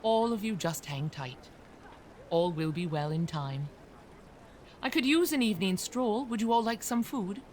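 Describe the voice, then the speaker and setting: An actress playing a kindly, motherly landlady in an audio drama, gentle and encouraging. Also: mid-range voice, expressive, unhurried, slight background noise, natural voice. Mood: cheerful. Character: Mrs. Hudson, kindly, motherly, reassuring landlady.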